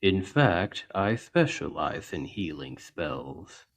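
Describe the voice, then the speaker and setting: Huge, kindly male orc healer played by a man for ASMR roleplay: low-pitched, unhurried, patient and soothing. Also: sing-song, full character voice. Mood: calm, caring. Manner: slow, gentle, reassuring, deliberate. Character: towering seven-foot male orc healer, gentle and patient. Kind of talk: ASMR roleplay spoken to the listener.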